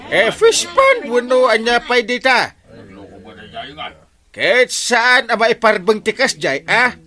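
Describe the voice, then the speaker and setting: A man acting an excitable, bossy, folksy village captain in an Ilocano comedy radio drama, with an Ilocano accent and exclamatory delivery. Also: very high-pitched, exaggerated voice. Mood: excited, jovial. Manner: exclamatory, starts phrases with drawn-out interjections. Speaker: lead comic character.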